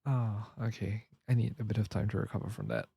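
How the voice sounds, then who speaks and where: Mellow-voiced man, casual game streamer. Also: fairly low pitch, big pitch swings, a little fast, gravelly, breathy edge, no echo, clean studio sound. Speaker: livestreamer.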